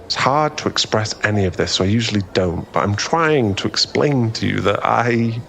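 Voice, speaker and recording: Male actor as bashful young suitor for ASMR boyfriend roleplay: low register, earnest, stumbling delivery, noticeable background ambience. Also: expressive, normal speed, vocal fry, a bit bright, a little hiss or hum. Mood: earnest, smitten. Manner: hesitant, stammering, earnest, bashful.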